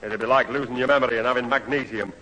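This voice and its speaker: A man playing brisk, questioning straight roles in a 1970s radio sitcom. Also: medium pitch, fairly even intonation, natural voice. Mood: insistent, probing. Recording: old hissy radio broadcast, roomy hall.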